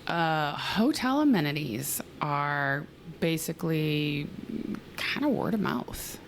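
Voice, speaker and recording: A hoarse woman co-hosts a podcast in loose, casual chat. Her low voice is gravelly with fry, and she laughs often and trails off mid-thought. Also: sing-song, takes it slowly, a little hiss or hum. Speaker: podcast co-host.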